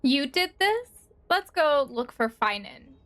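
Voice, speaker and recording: A woman's voice: sits high, very animated, slow pace, a bit bright. A woman streamer giving casual commentary as she plays a game, thinking aloud in a hesitant way.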